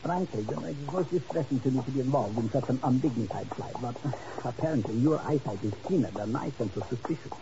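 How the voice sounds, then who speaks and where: An actor playing a sly, flowery, menacingly polite foreign agent in a 1950s radio drama. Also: male voice, mid-range voice, lively intonation, fast talker, few pauses, over music, natural voice. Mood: suave, wry. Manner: florid, mock-affectionate, elaborately polite. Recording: muffled, noisy old radio recording.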